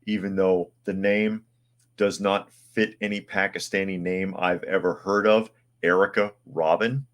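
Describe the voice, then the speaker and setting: A man hosting a live news podcast, giving sarcastic, opinionated commentary while reading articles aloud and answering viewer comments. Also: low voice. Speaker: podcast host.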